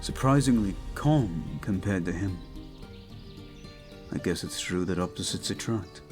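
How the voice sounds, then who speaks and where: A man playing a devoted, protective young prince in an intimate audio roleplay, speaking gently and somewhat formally to his betrothed. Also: low voice, animated, faint music behind. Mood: tender, reassuring. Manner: gentle, soothing, measured, slightly formal phrasing.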